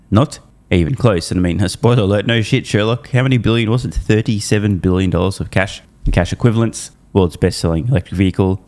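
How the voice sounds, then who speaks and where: A low-pitched male host runs through a prepared recap with punchy emphasis. He sounds opinionated and irreverent, dropping into slangy, casual asides between the scripted points. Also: a little mellow. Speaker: host of an investor news channel.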